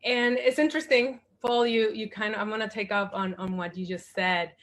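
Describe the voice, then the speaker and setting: A woman's voice: mid-range voice, fairly even intonation, moderate pace. A woman in her forties from the Dominican Republic, a guest at a panel discussion, speaking thoughtfully with many fillers and restarts.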